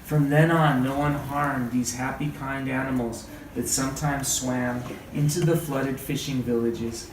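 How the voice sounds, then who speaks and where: A man reading a story aloud as a teacher, with dramatic, deliberate phrasing and questioning asides. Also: mid-range voice, steady tone. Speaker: read-aloud teacher and trainer. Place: indoor room, training session. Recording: echoey room, distant mic, background noise.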